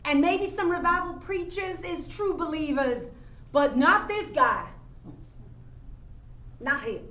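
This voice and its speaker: A woman's voice: high voice, lively intonation, takes it slowly, pushed and bright, exaggerated voice. An actress playing a jaded, skeptical working woman with a blunt, folksy, challenging manner in a staged play reading. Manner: folksy, challenging, pointed rhetorical questions. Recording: echoey room, faint background noise.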